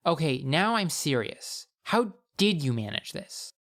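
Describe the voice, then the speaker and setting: A man voicing a feisty, excitable young girl with a wry, blunt, eager manner in an audiobook. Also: fairly high pitch, unhurried, voice pushed up, exaggerated voice. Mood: suspicious.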